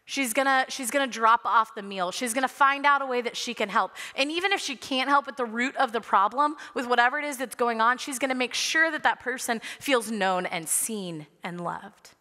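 A woman's voice: on the high side, normal speed, hardly any pauses, sharp tone. A woman, preaching a sermon from a church stage in an earnest manner with light humor. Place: large church sanctuary stage. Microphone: headset mic. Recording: long reverb of a large sanctuary.

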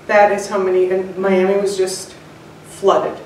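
A woman in her sixties telling her personal story in an interview, measured and reflective, with pauses. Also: mid-range voice, completely flat delivery, a little mellow. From United States, born in Cuba. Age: 60s. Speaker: interviewed home cook and author. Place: indoors, bright home room with large windows. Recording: very echoey room, distant mic, faint noise.

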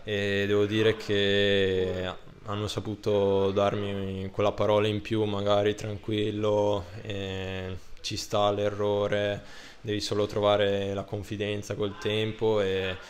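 A man's voice: lowish voice, monotone, slow pace, a little hiss or hum. A young man in his twenties, a football player answering post-match interview questions with hesitant fillers and restarts, into a handheld microphone indoors. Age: 20s. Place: indoor room under a ribbed ceiling. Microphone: handheld microphone held up by interviewer.

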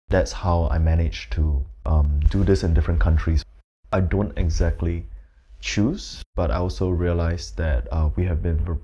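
A man in his thirties from Malaysia, a photographer, answering interview questions indoors in a measured, thoughtful way with frequent ums. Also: low voice, warm tone, muffled recording. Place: indoors, plain-walled room.

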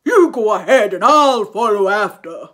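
Brief: woman doing a big blustering storybook monster, loud and gullible. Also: lively intonation, unhurried. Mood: booming. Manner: booming and loud. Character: huge scary male monster, gullible.

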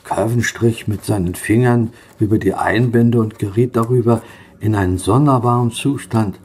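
A man acting a learned, curious but wary gentleman in a German horror radio drama, speaking in measured, formal, old-fashioned diction. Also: lowish voice, breathy edge. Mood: grave narration.

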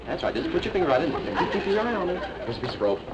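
A man's voice: fairly high pitch, big pitch swings, racing, heavy background noise. An actor playing a flustered, fussy older man who stammers nervously while talking his way out of trouble, in a 1950s television comedy. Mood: bumbling.

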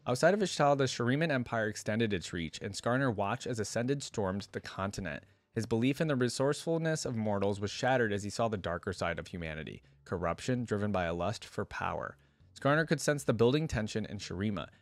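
Low-voiced young man, reaction host. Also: lively intonation, brisk, no room sound. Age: young adult. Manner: informal, filler-heavy, thinking aloud. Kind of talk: casual reaction commentary, reading text aloud. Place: indoor room at a streaming setup. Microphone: close desk microphone.

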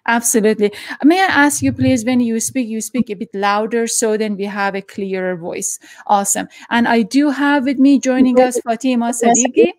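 Brief: female interview host, middle-aged, bright-pitched, dry studio-close mic, hesitant delivery. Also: fairly even intonation, dry recording. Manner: halting, many fillers and restarts. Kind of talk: hosted video interview. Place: home study with bookshelves. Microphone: headphones and desk mic.

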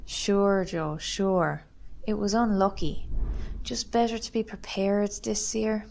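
Brisk Irish chairwoman acted by a woman, faintly noisy recording. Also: mid-range voice, steady tone, gravelly, darkish tone. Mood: brisk, placating. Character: brisk, pragmatic woman chairing a parish meeting. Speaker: actor in an amateur radio drama. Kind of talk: radio drama dialogue.

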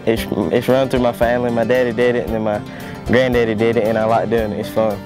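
A young man with a Southern American accent talks in an interview in a mid-pitched, very even voice. He is miked up close outdoors, with faint guitar music behind. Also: fast. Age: teens to young adult. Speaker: family auction worker. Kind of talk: documentary interview. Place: outdoors by a road. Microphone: lapel.

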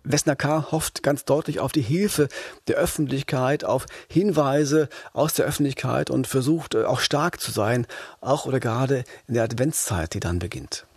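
A man's voice: medium pitch, moderate pace, breathy edge, no echo, clean studio sound. A middle-aged man co-hosting a crime podcast, telling a case in a conversational way. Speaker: crime podcast co-host, reporter. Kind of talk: conversational true-crime storytelling.

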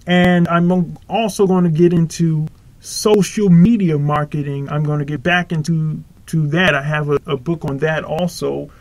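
A man's voice: fairly high pitch, fairly even intonation, measured pace, darkish tone, no room sound. A man preaching informally on his own podcast, rambling and correcting himself as he goes. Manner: rambling, self-correcting, earnest. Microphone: home podcast microphone.

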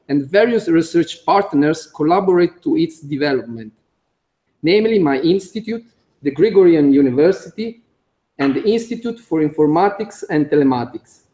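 Italian-accented man, engineer at a podium, slightly high and mellow, halting delivery read off notes. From Italy. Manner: careful, halting, with frequent "uh" fillers and small slips. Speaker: conference speaker, computer engineer. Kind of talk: conference talk read from notes. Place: conference room.

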